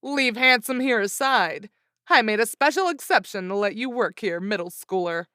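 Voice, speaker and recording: A woman playing a brash motel boss in an anime dub, brisk and pushy. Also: on the high side, expressive, sharp tone, no room sound, studio quality. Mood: bossy, hurrying. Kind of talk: anime fan dub acting.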